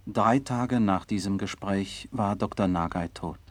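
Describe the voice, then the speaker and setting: An actor in a 1960s radio drama playing a serious, respectful doctor, with a low husky voice and a measured delivery. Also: male voice, dry recording. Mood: solemn, reverent. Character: concerned, respectful male doctor and visitor, also recounting events solemnly.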